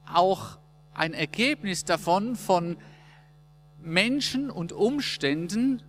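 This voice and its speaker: A man hosting a casual church interview, asking friendly, joking questions at an unhurried pace. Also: sits high, fairly bright tone, slight background noise. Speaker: interview host in church service. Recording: long reverb.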